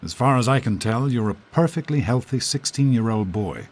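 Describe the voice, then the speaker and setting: An actor playing a gentle, steady middle-aged father in a video game, speaking in a calm, measured, protective way. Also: mid-range voice, big-room sound, a little hiss or hum, natural voice. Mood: calm, reflective.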